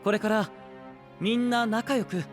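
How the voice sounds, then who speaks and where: A male voice actor playing a gentle, composed young knight leader with grand ambitions, soft, polite and persuasive, in an anime-style voice drama. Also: high voice, fairly even intonation, measured pace, over music. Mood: soothing.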